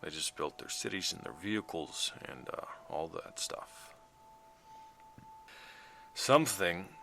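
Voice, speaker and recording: A very low, gravelly male voice, a weary working mechanic in a sci-fi audio drama. He talks haltingly and reflectively, like someone recording a sombre log, with music underneath. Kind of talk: audio drama monologue, naturalistic.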